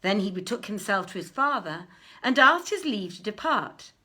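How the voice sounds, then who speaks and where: A woman reading an old literary tale aloud as narrator, in a measured, expressive, declaiming manner. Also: mid-range voice. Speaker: narrator, reader. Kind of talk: dramatic literary storytelling in archaic English.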